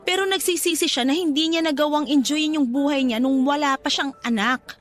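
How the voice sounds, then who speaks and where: A woman acting as a young girlfriend in a radio drama, with a high voice and earnest, emotional delivery. She is anxious and pleads with her boyfriend over faint music. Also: fairly quick, lightly acted. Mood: earnest, anguished.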